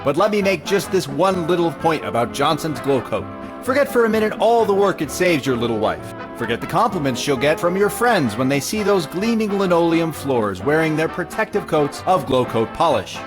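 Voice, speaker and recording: A man's voice: on the high side, big pitch swings, normal speed, music in the background. A man playing a polished, salesmanlike American announcer in a 1940s radio style. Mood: genial, persuasive. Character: genial 1940s radio pitchman.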